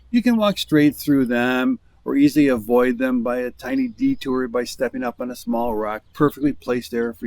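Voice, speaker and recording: A man's voice: medium pitch, darkish tone, dry recording. American man narrating a hiking trip. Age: middle-aged. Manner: wry, understated storytelling. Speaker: hiking vlogger. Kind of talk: scripted travel narration.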